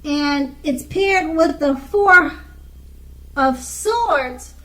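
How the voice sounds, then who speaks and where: A woman giving an unscripted tarot card reading in a halting way, pausing and repeating her phrases. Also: sits high, lively intonation, pushed and bright. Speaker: tarot reader.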